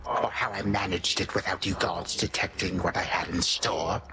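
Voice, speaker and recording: A man's voice: deep voice, flat delivery, moderate pace, background noise, close to an everyday voice. An actor playing an ancient titan tyrant in a video game, pompous and sardonic, speaking in formal archaic phrases with menacing grandfatherly condescension. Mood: condescending menace. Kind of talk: theatrical video game villain acting. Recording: studio voice over game music.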